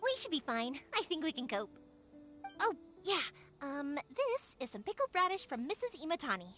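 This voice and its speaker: An actress voicing a cheerful, energetic young fireworks maker in a video game, bubbly and reassuring. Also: female voice, sits high, normal speed. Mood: upbeat. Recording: music behind the voice.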